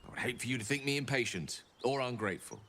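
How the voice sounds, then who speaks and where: A man's voice: medium pitch, animated, fast talker, bright, forceful tone, slight background noise. An actor playing a courteous, secretive male wizard, speaking in a refined, theatrical way. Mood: impatient.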